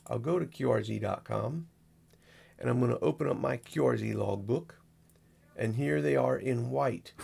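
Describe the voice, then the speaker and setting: A man with a very gravelly, creaky voice and a husky edge hosts a casual screen walkthrough. He rambles in a folksy, unscripted way, talking through each step as he does it. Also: medium pitch, darkish tone. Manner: folksy, rambling, narrates each click as he goes. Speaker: amateur radio operator, video host.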